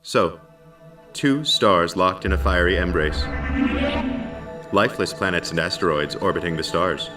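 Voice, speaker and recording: A man playing a calm, dutiful artificial intelligence who narrates a science fiction audio drama in a measured, formal and precise way. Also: deep voice. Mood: measured storytelling. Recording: slightly distant, music underneath. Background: music.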